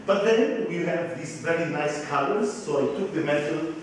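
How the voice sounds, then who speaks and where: Hebrew-native man, halting English, echoey room. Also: a little high, animated, far from the mic, slight background noise. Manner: halting, searching for words in English. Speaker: glass designer. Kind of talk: explaining his work to an interviewer.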